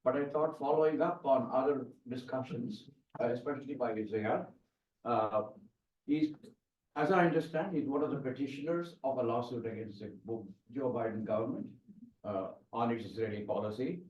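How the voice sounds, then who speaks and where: A man's voice: mid-range voice, normal speed, darkish tone. A man moderates a panel, politely inviting speakers and hesitating often on uhs. He is heard from a distance, with a roomy echo. The sound is dull.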